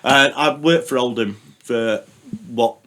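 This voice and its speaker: A man's voice: mid-range voice, slow, pushed and bright. Rambling man, casual. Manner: rambling, anecdotal, swears, many fillers. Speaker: podcast guest, radio producer. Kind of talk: casual chat.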